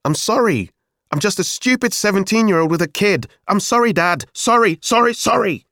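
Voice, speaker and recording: A man's voice: moderate pace, a little mellow, dry recording, clean studio sound, lightly acted. A man voicing a resentful, hot-tempered seventeen-year-old boy, defensive and emotional in an audiobook story. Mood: bitter, shouting. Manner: defensive, emotional, raised high voice when upset.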